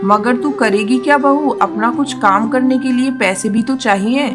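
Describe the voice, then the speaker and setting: A woman's voice: on the high side, moderate pace, music in the background, close to an everyday voice. Woman playing a fretful elderly mother-in-law bird. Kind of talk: cartoon voice acting.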